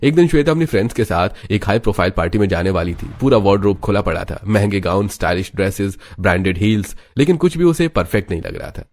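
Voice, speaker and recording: A man reading scripted narration for an animated family drama story as the storyteller. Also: on the low side, quick pace, hardly any pauses.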